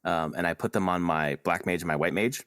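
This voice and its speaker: A man with a low-pitched voice chats casually about video games as a podcast co-host. His delivery is informal and joking, with casual swearing. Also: a little fast, fairly bright tone. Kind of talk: casual gaming chat.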